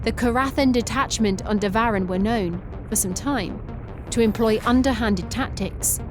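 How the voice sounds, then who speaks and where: A woman giving even, matter-of-fact scripted narration for an animated sci-fi story. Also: a little high, normal speed. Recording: music bed under narration.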